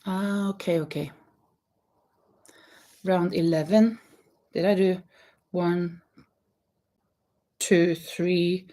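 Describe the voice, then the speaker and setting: Woman from Norway, unhurried, chatty craft-stream host, counts stitches, laughs easily. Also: on the low side, fairly even intonation, dark tone. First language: Norwegian. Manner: chatty, counts stitches aloud, reads viewer comments, laughs often. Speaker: crochet livestream host. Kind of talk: casual live chat while crocheting. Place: home craft room with yarn behind her.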